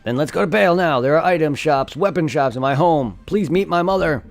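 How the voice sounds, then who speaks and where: Eager young game knight, read by a man, pitched up and gravelly. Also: animated, a little fast, soft background music. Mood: cheerful.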